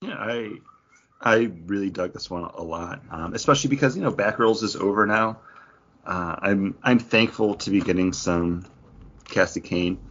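A man's voice: lowish voice, moderate pace, a bit bright, muffled recording. A man casually discussing books as a podcast co-host. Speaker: comic book podcast co-host.

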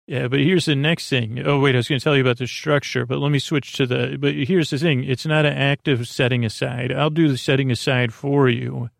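Male sleep podcast host, gravelly creak, close dry recording, halting whimsical meandering monologue. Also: mid-range voice, steady tone, dry recording. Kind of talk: rambling bedtime podcast monologue.

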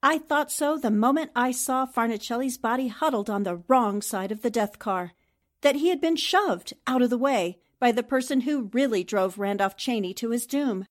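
A woman playing a clever, confident newspaper reporter in a 1940s-style radio drama, poised and deliberate. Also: a little high, animated, lightly acted. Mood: triumphant.

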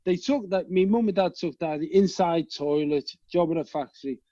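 A man with a Liverpool accent, a guest telling animated, rambling stories and swearing freely. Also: high voice, moderate pace, dry recording, muffled recording. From England. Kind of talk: casual storytelling chat.

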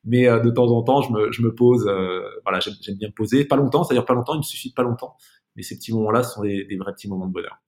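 A man's voice: on the low side, fast talker, darkish tone. A man speaking as a podcast guest in a casual, reflective interview, searching for his words and often repeating them.

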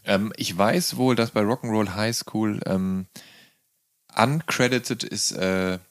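A man's voice: lowish voice, slow, clear, resonant tone, no echo, clean studio sound. A man hosting a conversational interview, phrasing his questions in a wordy, hesitant way. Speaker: interviewer, host.

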